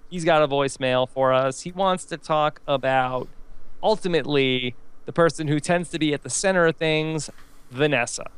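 A man's voice: a little high, normal speed, smooth, dry recording. A man hosting a reality TV recap show in a conversational way. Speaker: podcast host.

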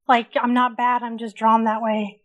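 A woman co-hosting a casual recap show, speaking with a hoarse voice, chatty and opinionated. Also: fairly high pitch, level tone, moderate pace, narrow, tinny audio. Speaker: co-host.

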